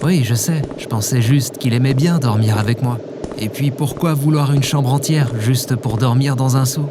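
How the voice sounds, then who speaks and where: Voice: mid-range voice, normal speed, noisy background, natural voice. An actor playing a hapless, defensive man in an audio drama, an informal and grumbling worrier. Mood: worried. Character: hapless, defensive male archive keeper, affectionate worrier. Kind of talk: scripted audio drama acting.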